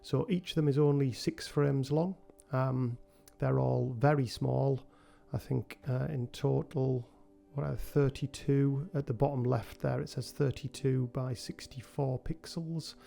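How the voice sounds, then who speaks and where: A man with a cold hosting a relaxed software tutorial, talking through each step with frequent ums. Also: mid-range voice, measured pace, gravelly, hardly any pauses, soft background music. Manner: relaxed, thinking aloud with many ums. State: has a cold. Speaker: game developer tutorial host. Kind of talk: screen-recorded software tutorial.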